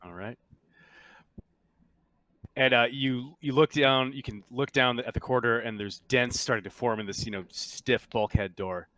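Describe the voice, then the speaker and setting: A man working as game master, narrating a tabletop game in a halting, conversational, improvised way. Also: medium pitch, sharp tone, dry recording.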